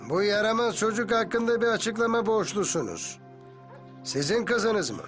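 An actor playing a pompous, angry middle-aged governor in a dubbed cartoon, commanding and indignant. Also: male voice, high voice, animated, normal speed, a bit bright, faint music behind. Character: pompous angry governor, a father, quick to threaten.

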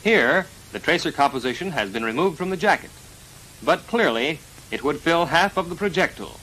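Bright-voiced American male training film narrator. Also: fairly high pitch, animated. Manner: formal, precise, measured enunciation. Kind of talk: scripted 1940s military instructional narration. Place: voice-over recorded for a film. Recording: noisy vintage 1940s film soundtrack.

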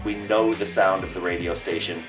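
Male radio DJ, very low voice, emphatic interview delivery, slightly distant over guitar music. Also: steady tone, brisk. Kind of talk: documentary interview.

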